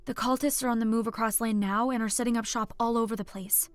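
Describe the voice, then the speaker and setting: A woman's voice: a little high, steady tone, fast, no echo, faint music behind, natural voice. A woman voicing a wry, gossipy female bartender in an audiobook, chatty and matter-of-fact. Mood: brisk, gossipy. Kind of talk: scripted audiobook character acting.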